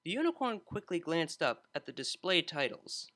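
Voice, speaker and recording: Bright, animated male story narrator. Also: on the high side, normal speed. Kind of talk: scripted story narration, expressive.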